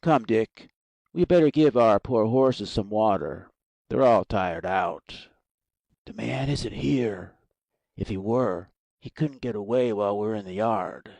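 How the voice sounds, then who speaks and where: Man playing a slow, gravelly, commanding sergeant. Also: fairly low pitch, a little mellow, dry recording, full character voice. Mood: brisk, commanding. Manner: curt orders, disdainful. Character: brusque, commanding male Southern army sergeant, contemptuous. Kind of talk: amateur audiobook play acting.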